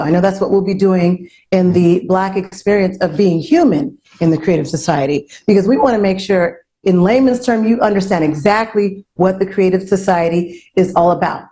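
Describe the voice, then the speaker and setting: Woman, panel host, mellow tone, earnest, emphatic repetition, conversational. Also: mid-range voice, normal speed, hardly any pauses. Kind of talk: unscripted panel discussion.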